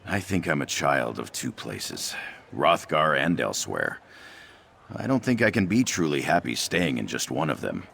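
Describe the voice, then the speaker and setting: A man's voice: very deep voice, very animated, unhurried, gravelly, fairly bright tone, a little hiss or hum, exaggerated voice. A man playing a cheerful, ambitious young orc trader in scripted video game dialogue. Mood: thoughtful, hopeful.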